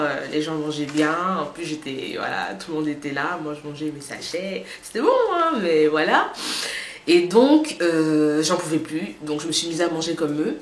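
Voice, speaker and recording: A woman with a slight cold gives a casual, chatty vlog update and talks straight to her viewers, with many hesitant pauses. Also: deep voice, echoey room, a little hiss or hum. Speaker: vlogger.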